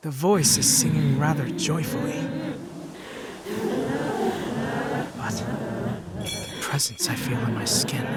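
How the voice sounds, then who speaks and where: A man's voice: on the high side, heavy background noise. A man acting a brooding, haunted young narrator. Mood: somber, hushed. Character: troubled young man with psychic visions, narrating his story. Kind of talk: dramatic visual novel fan dub narration.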